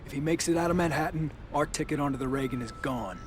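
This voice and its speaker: An actor playing a tough, urgent military captain giving tactical orders in a video game cutscene. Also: male voice, fairly high pitch, fast talker, background noise, natural voice. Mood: urgent, commanding.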